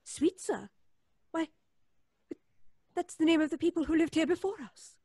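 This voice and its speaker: Prim sceptical woman, high voice, high-strung, distressed, indignant, emphatic, old-time radio suspense, dry sound. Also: normal speed, a little mellow, dry recording.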